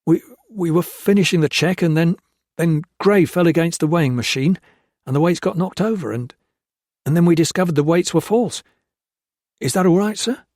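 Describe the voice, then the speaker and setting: A cringing young storekeeper with a high, shaky, pleading voice, as a man puts him on. He stammers and begs in a dry, close sound with no room around it. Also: moderate pace, a little mellow, no room sound, close to an everyday voice. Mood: eager, stammering.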